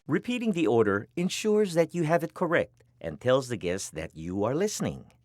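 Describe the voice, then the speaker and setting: A man delivering scripted instructional narration as the narrator of a training film, with deliberate, emphatic phrasing. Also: medium pitch, big pitch swings, a little hiss or hum.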